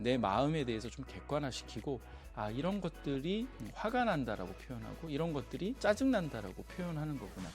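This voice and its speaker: A man hosting a parenting education channel, giving informal, explanatory recommendations and advice directly to parents. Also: medium pitch. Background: background music.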